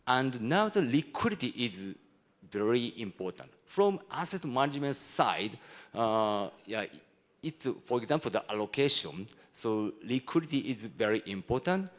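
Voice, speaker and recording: A Japanese man presents at a conference in a medium-pitched voice. His accent is Japanese, and his English is halting, with phrases broken and rebuilt as he explains his points. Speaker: conference speaker, hedge fund executive.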